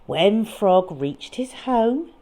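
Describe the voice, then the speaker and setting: A woman reading a picture book to young children in a dramatic, expressive way with deliberate phrasing. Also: mid-range voice. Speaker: children's storyteller.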